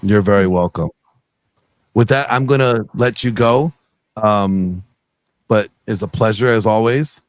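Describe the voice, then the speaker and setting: A man's voice: on the low side, unhurried, pauses often, dry recording. A middle-aged man speaking earnestly as a guest on a video call interview, rambling with many fillers. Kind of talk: casual interview chat.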